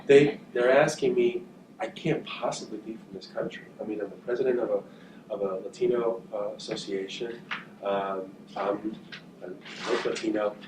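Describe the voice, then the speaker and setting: A man's voice: fairly low pitch, fairly even intonation. Man speaking in an echoey room. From northern New Jersey, USA. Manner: thoughtful, halting, with frequent fillers and repeated words. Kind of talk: talk to journalism students. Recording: echoey, distant, with background noise.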